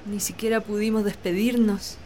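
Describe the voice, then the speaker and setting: A woman's voice: medium pitch, fairly even intonation, moderate pace, dark tone, a little hiss or hum, lightly acted. A woman playing an earnest, lovestruck young landowner's daughter in a romantic radio drama. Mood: longing. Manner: earnest, pleading.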